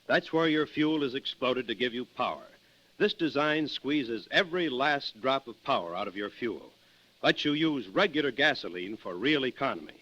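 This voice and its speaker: A man's voice: mid-range voice, animated, moderate pace, fairly bright tone. A man with an American accent delivering a scripted 1950s radio advertisement as an announcer. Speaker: commercial announcer. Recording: dull old radio recording.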